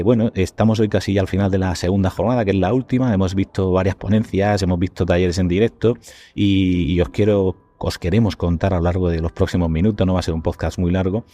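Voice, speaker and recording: A man's voice: sits low, mellow. A man with an accent from Spain hosting a podcast in a wordy, conversational way, playfully addressing his listeners. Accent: Spanish from Spain. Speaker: podcast host.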